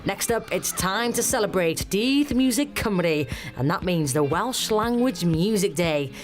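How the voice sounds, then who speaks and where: A woman presenting a television magazine show, reading scripted links in a bright, upbeat way. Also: medium pitch, animated, measured pace. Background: music bed.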